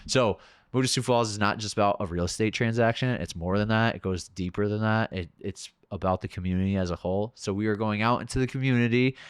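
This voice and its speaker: A man's voice: fairly low pitch, fast talker, clean tone, no echo, studio quality. Laid-back man hosting a podcast. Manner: loose and chatty, many "like" fillers. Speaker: podcast host. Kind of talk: casual interview chat.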